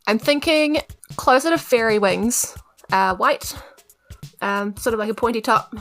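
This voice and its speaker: A woman's voice: on the high side, animated, measured pace, a bit bright, soft background music. A woman with a New Zealand accent chatting casually as a tabletop player, wry and self-deprecating. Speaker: player in a tabletop role-playing podcast. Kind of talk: casual chat.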